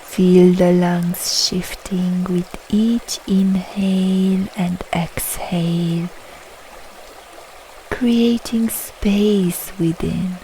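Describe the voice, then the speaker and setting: A woman guiding a yoga nidra relaxation meditation, speaking in soft, soothing, unhurried short phrases with long pauses. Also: on the low side, fairly even intonation, slight background noise, rough recording. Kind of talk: slow guided relaxation meditation.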